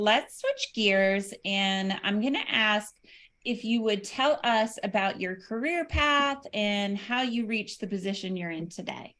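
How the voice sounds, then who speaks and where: A woman's voice: mid-range voice, sharp tone. Upbeat woman hosting an interview. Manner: upbeat, effusive. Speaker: interview host.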